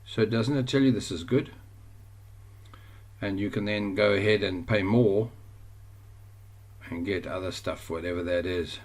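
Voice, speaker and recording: A man's voice: lowish voice, unhurried, pauses often. A man with a South African accent teaching an online course over a screen share, rambling and often correcting himself. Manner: rambling, self-correcting, chatty.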